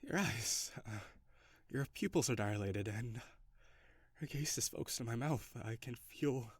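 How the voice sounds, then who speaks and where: A man's voice: mid-range voice, unhurried, slightly rough, no echo, studio quality. A man playing a curious, reserved alien in an intimate audio roleplay, speaking in stiff, formal, precise phrasing. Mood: flustered, intimate. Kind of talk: intimate scripted audio roleplay addressed to the listener.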